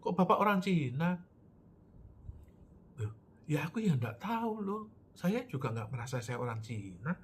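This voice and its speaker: A man's voice: on the high side, sing-song, moderate pace, long pauses, a little hiss or hum. A man from Java, giving an emphatic lecture-style political talk, asking rhetorical questions and answering them himself. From Java, Indonesia.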